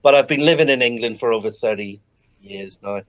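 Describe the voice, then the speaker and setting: A man's voice: medium pitch, brisk, highs cut off. Irish-born musician guy, mid voice, easygoing, bit hesitant, sounds like a video call. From Ireland. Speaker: musician guest. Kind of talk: interview answers.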